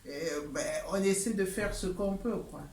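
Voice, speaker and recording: A woman's voice: mid-range voice, moderate pace, roomy sound, far from the mic, over a noisy crowd. A woman in her sixties from the Republic of the Congo, an adult English learner, answering interview questions hesitantly, with many fillers and restarts. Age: 60s.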